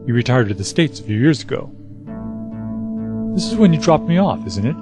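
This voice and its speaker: A man's voice: mid-range voice, very animated, a little fast, natural voice. A man plays an adventurer's old companion in an audio drama. He sounds earnest and respectful in a middling register, with prominent music underneath. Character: earnest male former companion, pleased and respectful. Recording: music bed under the dialogue.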